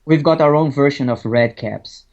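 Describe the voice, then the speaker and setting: A man's voice: medium pitch, moderate pace, darkish tone. A man from Brazil with a Brazilian Portuguese accent, a podcast guest chatting casually and hesitantly, restarting often. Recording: dull lo-fi audio.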